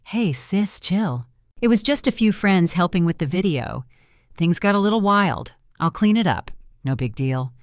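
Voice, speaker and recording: A woman's voice: on the low side, expressive, a little slow, no echo, clean studio sound. A woman voicing a breezy, careless younger sister. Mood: flippant. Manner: breezy, offhand.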